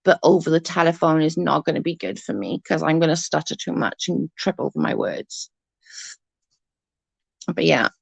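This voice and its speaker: A woman with a stutter, chatting casually on a livestream as she reads out viewers' comments, halting and restarting her sentences. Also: sits low, expressive, creaky, breathy edge, dry recording. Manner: rambling, halting, often restarts and repeats words. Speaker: livestream host reading chat.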